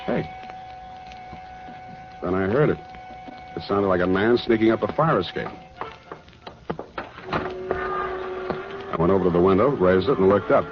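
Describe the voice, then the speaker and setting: Hard-boiled detective, American, deadpan, easygoing, 1940s radio style, muffled old broadcast, music behind. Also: male voice, fairly low pitch. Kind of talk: 1940s radio detective drama acting and narration.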